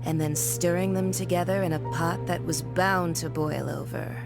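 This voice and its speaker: Video game schemer: female, lowish pitch, poised, knowing, faintly mocking and coolly amused. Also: fairly even intonation, moderate pace, gravelly, music in the background. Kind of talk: video game cutscene acting.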